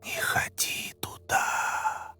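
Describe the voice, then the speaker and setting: An unseen ghostly spirit played by a male narrator in a horror audio story. It whispers very slowly and eerily, all breath and no voice, as a warning. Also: bright, forceful tone, no echo, soft background music, exaggerated voice. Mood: eerie warning. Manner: slow, eerie.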